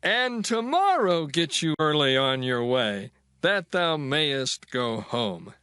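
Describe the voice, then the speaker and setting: An actor playing an older, hospitable father-in-law, coaxing in a theatrical, drawn-out way in dramatized scripture. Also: fairly high pitch, big pitch swings, sharp tone, clean tone, no echo, clean studio sound, exaggerated voice. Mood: persuasive. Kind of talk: dramatized scripture reading, archaic English.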